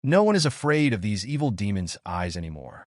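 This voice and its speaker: A man's voice: on the low side, sing-song, fairly quick, no echo, clean studio sound. A man voicing a composed, weary young mage from a manga in a restrained, brooding manner.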